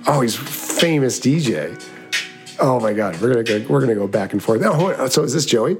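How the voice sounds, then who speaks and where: A man with a low voice interviewing a rapper in casual chat, excitable and quick to restart his sentences. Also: soft background music.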